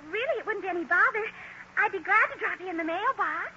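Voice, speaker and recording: An actress playing an excitable, gushing teenage girl in a 1940s radio comedy. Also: very high-pitched, quick pace. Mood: gushing, excited. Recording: noisy, narrow old 1940s radio recording.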